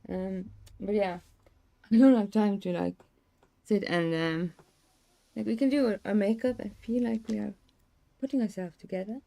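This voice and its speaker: A young woman, hoarse today, chatting in a rambling, casual way with many fillers and restarts. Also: mid-range voice, normal speed, pauses often, dark tone. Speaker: streamer. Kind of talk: casual chat.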